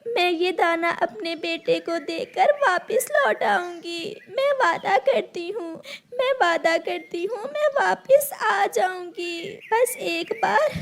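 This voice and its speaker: A woman's voice: extremely high pitch, vocal fry, full character voice. A woman voicing an honest, tender mother bird in a children's cartoon, earnest and imploring. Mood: tearful pleading. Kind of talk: Hindi children's cartoon voice acting.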